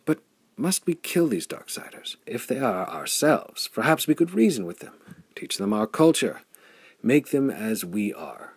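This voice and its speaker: A man voicing a thoughtful, stubborn wandering hero in an audiobook, with an earnest, measured manner. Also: medium pitch, sing-song, husky, no room sound, pitched above the performer's own voice, full character voice. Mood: earnest pleading.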